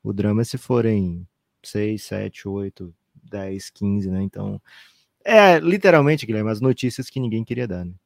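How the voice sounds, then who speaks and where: Male co-host, gravelly, dry close mic, chatty. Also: fairly low pitch, a little slow, dry recording. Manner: conversational, peppering lines with tag questions. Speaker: podcast co-host. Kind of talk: casual sports podcast chat.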